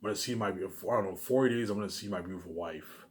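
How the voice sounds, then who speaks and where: A man talking casually in a rambling, repetitive monologue, swearing often and swinging between loud emphatic bursts and quieter asides. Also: lowish voice, fast talker. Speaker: vlogger.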